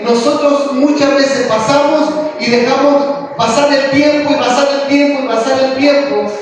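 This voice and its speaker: A male pastor preaching a sermon in a church, full of rhetorical questions and rising to impassioned calls. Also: extremely high pitch. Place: church hall. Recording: echoey hall from far off.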